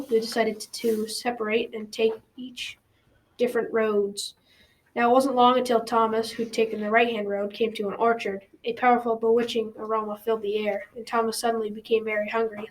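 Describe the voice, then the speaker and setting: A young female student playing a grandfather who tells a folktale in an amateur school radio drama, in a measured storytelling way. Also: fairly high pitch, close to an everyday voice, poor audio. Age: child or teen. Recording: faint background noise.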